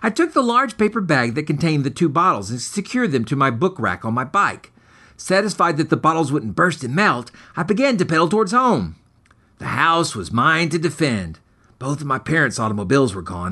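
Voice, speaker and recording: A man's voice: a little high, big pitch swings, a little fast, bright, forceful tone. A man reading scripted material as author and host, with a melodramatic, ornate storytelling manner. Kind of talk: scripted dramatic story narration.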